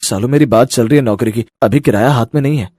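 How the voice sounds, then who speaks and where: A man's voice: medium pitch, fast, warm tone, breathy edge, dry recording, studio quality, close to an everyday voice. A man voicing a tired, worried young husband in a cartoon story, speaking in a downcast way. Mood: anxious. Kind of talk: Hindi cartoon story acting.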